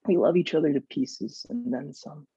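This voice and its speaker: A man's voice: fairly high pitch, lively intonation, normal speed, dark tone, breathy edge, no echo, muffled recording, faint music behind. A young man reading his poetry aloud, with the sound of a video call. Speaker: poet.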